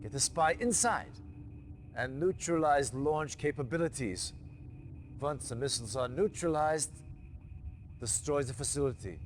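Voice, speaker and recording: An actor playing a commanding male officer who gives measured, authoritative mission briefings in a game cutscene. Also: fairly high pitch, animated. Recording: game soundtrack music underneath.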